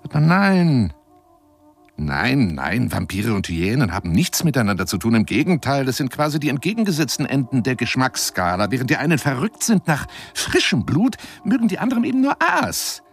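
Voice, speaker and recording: A man's voice: medium pitch, very animated, normal speed, breathy edge, faint music behind. An actor playing an eccentric, obsessive lion hunter who woos a woman by telephone in an effusive, insinuating radio play monologue. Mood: lecturing, excited. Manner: effusive, insinuating, swinging between whispers and exclamations.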